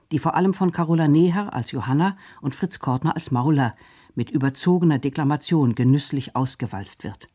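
A man reading a scripted radio documentary narration in a measured, formal way. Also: fairly high pitch, darkish tone, breathy edge.

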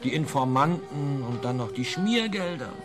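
A man's voice: mid-range voice, lively intonation, moderate pace, close to an everyday voice. Man acting hesitant, evasive storyteller over music. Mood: eager storytelling. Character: Harry Pendel, evasive male tailor turned storytelling informant. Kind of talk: German radio play dialogue.

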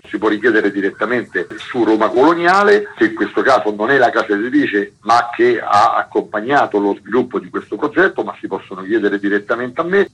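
A man's voice: lowish voice, a bit bright, breathy edge, muffled recording. A man being interviewed as an author, explaining things in a radio interview style.

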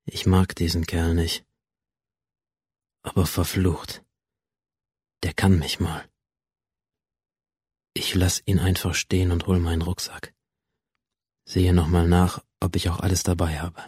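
An actor playing a cold, alienated teenage schoolboy who narrates a radio drama in a detached, brooding, deliberate way. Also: male voice, sits low, level tone, a little mellow, slightly rough, dry recording, studio quality, full character voice. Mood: tense, brooding. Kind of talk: first-person radio drama monologue.

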